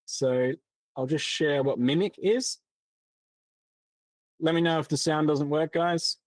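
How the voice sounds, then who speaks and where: A man presenting a software demo in a casual way, with many fillers and restarts. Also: a little high, takes it slowly, no echo. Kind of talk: explaining a tech demo.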